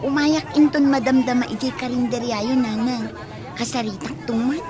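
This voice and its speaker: A woman's voice: fairly high pitch, fairly even intonation, normal speed, lightly acted. A woman playing a concerned young friend in radio drama, with an Ilocano accent. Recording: noisy outdoor crowd ambience behind.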